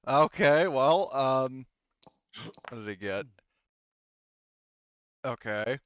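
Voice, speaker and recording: Clear-voiced man refereeing a tabletop game. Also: medium pitch, expressive, drawn-out words, dry recording, lo-fi sound. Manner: joking, profane banter. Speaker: game master. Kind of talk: casual narrating and refereeing of a tabletop roleplaying game.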